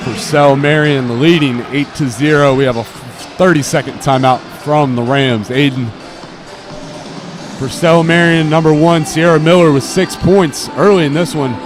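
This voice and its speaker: A man's voice: a little high, fairly even intonation, measured pace, over music. A young student man doing live basketball play-by-play in clipped phrases that follow each action. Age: teenager or young adult student. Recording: noisy gym, bouncing balls behind. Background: gym ambience and basketball bounces.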